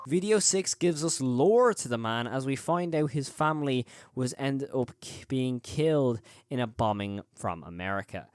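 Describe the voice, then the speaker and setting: A man's voice: medium pitch, no echo, studio quality. A man presenting a scripted video essay as the host of a horror review channel.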